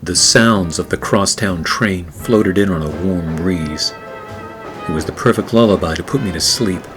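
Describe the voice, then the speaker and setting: A man playing a weary, wry 1940s private eye in a noir radio play, wisecracking and narrating in a deadpan manner. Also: low voice, unhurried, music in the background.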